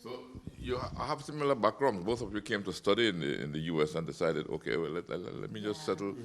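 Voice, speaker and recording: A man's voice: fairly low pitch, fast talker, a crowd in the background. A man interviewing a couple, asking friendly questions that wander with restarts.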